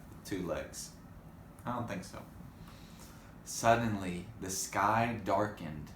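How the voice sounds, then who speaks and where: Slow, expressive man reading to children, echoey. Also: low-pitched. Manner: expressive, addressing young listeners. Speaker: storybook reader. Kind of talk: children's read-aloud with chatty asides. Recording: echoey room, faint background noise.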